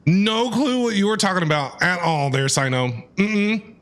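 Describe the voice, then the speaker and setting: A man's voice: high voice, expressive, moderate pace, fairly bright tone. A man giving casual, enthusiastic reaction commentary as he plays a game, often repeating himself. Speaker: game streamer. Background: game music.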